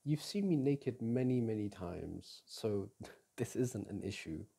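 A young man speaks close to the mic in a soft, teasing boyfriend roleplay. His voice is gravelly, husky and warm, and he talks in an unhurried drawl. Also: lowish voice, expressive. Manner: soft and teasing, with frequent sighs and chuckles. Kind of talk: intimate ASMR roleplay, speaking to an unseen partner. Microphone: close microphone.

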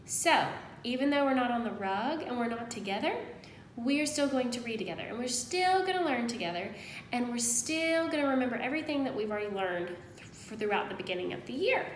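A woman in her thirties, a kindergarten teacher giving an encouraging read-aloud lesson to young children, pausing after questions. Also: lively intonation. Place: indoors at home, small room. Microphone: phone at arm's length. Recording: roomy echo, faint noise and rumble.

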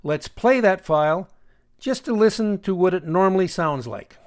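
A man narrating a screencast tutorial as the host, explaining deliberately and with pointed emphasis. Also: high-pitched, lively intonation. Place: voice-over for a screen recording.

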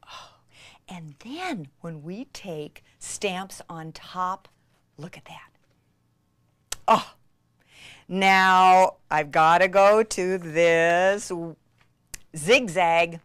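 An excitable, gushing woman giving an enthusiastic crafting tutorial, with breathy exclamations and soft, near-whispered asides. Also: on the low side, very slow, pauses often, dry recording, clean studio sound. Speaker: craft demonstrator and presenter.

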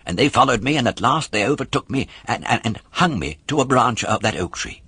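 An eager little puppet boy from a storybook, acted out by a man, speaks in a raised, lively voice. He is naive, earnest and excitable, with a husky edge. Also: mid-range voice, fairly quick, no room sound, pitched above the performer's own voice, full character voice.

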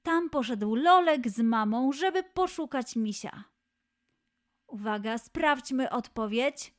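A woman's voice: fairly high pitch, lively intonation, slow. A woman presenting a scripted quiz for children in a playful, encouraging, exclamatory way. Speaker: children's quiz show host.

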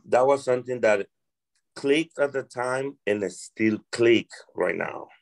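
Slow-spoken man with a Colombian Spanish accent. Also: medium pitch, creaky. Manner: slow, careful, searching for words in English. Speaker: restaurant worker guest. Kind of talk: interview conversation.

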